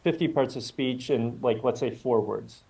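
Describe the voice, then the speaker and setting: A lecturer with a mellow, darker tone gives a recorded class. The delivery is patient and explanatory, and questions are put to the students along the way. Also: mid-range voice, normal speed, vocal fry, breathy edge, poor audio. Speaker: university lecturer.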